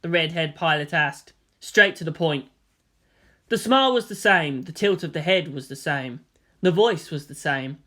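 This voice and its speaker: Female narrator for fan fiction: lower register, lively intonation, reading scripted prose. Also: normal speed.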